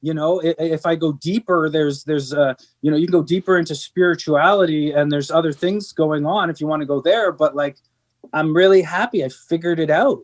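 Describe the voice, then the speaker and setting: A man's voice: fairly high pitch, a little fast. A middle-aged man, a band's singer, talking earnestly in an interview, rambling with many restarts and fillers. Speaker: band singer. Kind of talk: candid interview conversation.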